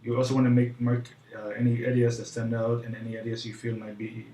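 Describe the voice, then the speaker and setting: Monotone man with a put-on French accent. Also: medium pitch, fast. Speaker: tutorial presenter. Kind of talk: informal how-to tutorial. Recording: roomy echo, faint background noise.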